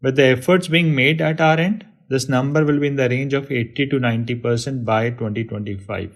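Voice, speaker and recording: A man's voice: medium pitch, fairly even intonation, fairly quick. Male corporate presenter, Indian accent, mid-range voice, formal read-from-script business delivery. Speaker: company executive presenting ESG update.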